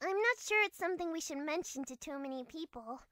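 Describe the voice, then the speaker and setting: A woman playing an excitable, loyal teenage girl sidekick who blurts out questions in an anime-style audio drama. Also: high-pitched, fast, very noisy. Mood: hesitant.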